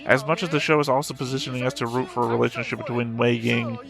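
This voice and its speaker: A man's voice: mid-range voice, level tone, fast, gravelly, over music. A man giving casual, blunt reaction commentary as the host of a reaction video.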